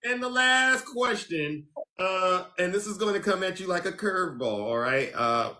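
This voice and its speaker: A man hosting a live call-in show, theatrical, with a booming announcer style. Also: lively intonation, normal speed, pushed and bright. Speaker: show host.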